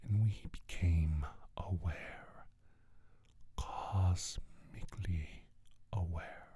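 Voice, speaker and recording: A man playing a menacing, scornful alien scientist in a soft-spoken ASMR roleplay, speaking slowly and deliberately in a breathy, raspy whisper. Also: low voice, fairly even intonation, heavily acted. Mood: ominous, measured. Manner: slow, deliberate, condescending.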